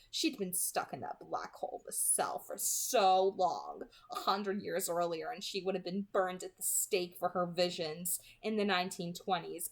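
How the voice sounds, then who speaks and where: A woman reading aloud as a gloating, mockingly polite male vampire hunter in a storybook, with a genteel, sarcastic manner. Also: mid-range voice. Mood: gloating, taunting.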